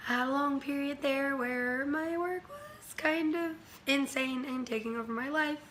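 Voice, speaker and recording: A woman's voice: high voice, moderate pace, fairly bright tone, a little hiss or hum. A woman whose voice is worn from being sick, chatting casually about books she has read, rambling with many ums and likes. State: voice worn from illness, recovering. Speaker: book reviewer. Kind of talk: casual chatty book review.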